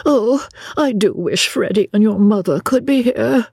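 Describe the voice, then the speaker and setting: Woman voicing a breathy, fretful prim spinster. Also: on the high side, measured pace, dry recording, clean studio sound, voice pushed up, exaggerated voice. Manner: hushing, fretful. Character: anxious, prim, self-effacing older spinster companion in a novel. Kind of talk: audiobook character voice.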